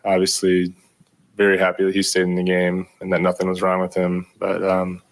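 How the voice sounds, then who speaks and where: A young man answering reporters after a game, with a very low and flat voice. He sounds modest and low-key, pausing often with ums. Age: young adult. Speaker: rookie pitcher. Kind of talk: postgame media interview.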